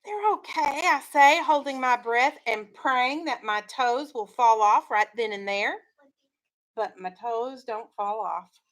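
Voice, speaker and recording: A woman's voice: fairly high pitch, slow pace, fairly bright tone, rough recording. A woman teacher reading a story aloud to children, in an instructive way with leading questions.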